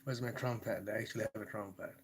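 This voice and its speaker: A man's voice: lowish voice, fairly even intonation, fast talker. Rambling, laughing Bengali-accented man. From Bangladesh. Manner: rambling, irreverent, laughs between thoughts; reads passages aloud in a louder, declaiming voice. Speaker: host reading and reviewing a book. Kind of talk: casual livestream book talk.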